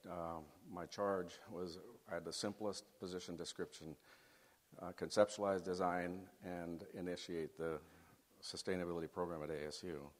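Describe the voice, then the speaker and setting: A man's voice: deep voice, flat delivery, moderate pace. A middle-aged man raised in Chile giving a folksy, faith-based talk to a student audience. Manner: folksy, leads the audience through an exercise. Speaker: guest speaker. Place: large hall. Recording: long hall reverb.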